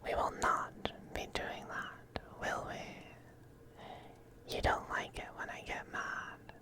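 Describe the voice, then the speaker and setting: A performer voicing a possessive, androgynous male vampire master in an ASMR roleplay, soft, breathy and often whispering, coaxing and condescending. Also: lightly acted. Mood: softly menacing. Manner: slow, purring, coaxing, condescending. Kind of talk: ASMR roleplay, intimate scripted monologue.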